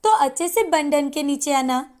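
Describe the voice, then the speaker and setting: A woman's voice: sits high, lively intonation, normal speed, darkish tone. A woman voicing a doting, class-proud wealthy mother of a grown son in a cartoon story, with an emotional, exclaiming manner. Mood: disapproving. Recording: music bed behind the voice.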